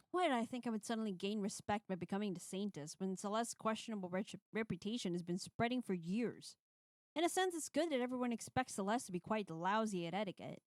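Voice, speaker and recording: Brief: woman streamer, expressive story reader, blunt casual asides, dry close sound. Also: fairly high pitch, no echo. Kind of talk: reading a visual novel aloud on stream.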